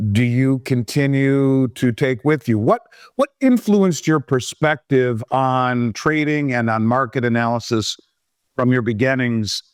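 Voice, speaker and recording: A male interview host with a smooth, resonant voice at a middling pitch, who opens with friendly remarks and long lead-ins before each question. He is recorded on a dry, close microphone. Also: no echo.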